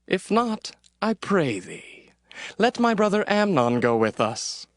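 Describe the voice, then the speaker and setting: High, swooping young-sounding prince. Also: male voice, very animated, takes it slowly, no room sound, studio quality, exaggerated voice. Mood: courteous pleading. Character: Absalom, vengeful prince, brother to Tamar, smoothly pleading and commanding. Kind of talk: dramatized scripture reading with archaic English.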